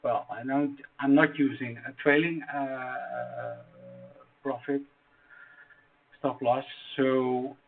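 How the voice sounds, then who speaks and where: A man's voice: medium pitch, level tone, gravelly, faint music behind. Dutch-accented man, tinny phone-like sound. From Netherlands. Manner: halting, many fillers and restarts. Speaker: trading strategy explainer. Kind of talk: screen-share tutorial.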